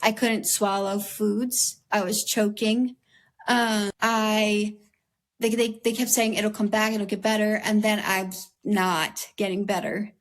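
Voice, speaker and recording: A young woman from the United States talks with an interviewer in an earnest, open way. Her voice sits at an ordinary middle pitch with a slight raspy edge. She leans on "like" and "um" as she works through her thoughts. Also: little pitch movement, takes it slowly. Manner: earnest, heavy use of "like" and "um". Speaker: interview guest. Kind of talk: candid conversational interview.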